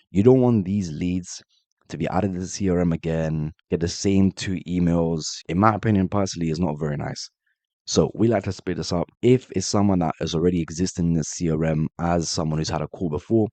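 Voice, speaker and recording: A man with a very low voice talks quickly through a screen-share tutorial, fighting off a cold. His tone is dark and mellow, and the dry, close sound has no room in it. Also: no echo. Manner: brisk, direct step-by-step walkthrough addressing viewers as guys. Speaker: automation tutorial host. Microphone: voice-over on screen recording.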